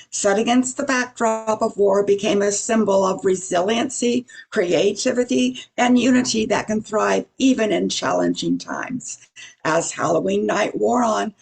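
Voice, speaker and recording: A woman's voice: medium pitch, vocal fry, breathy edge, heavily acted. A woman narrating an amateur radio play from a script.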